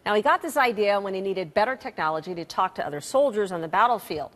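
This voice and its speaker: A woman's voice: medium pitch, lively intonation, fast, a bit bright, long reverb tail. A woman presenting broadcast news as an anchor.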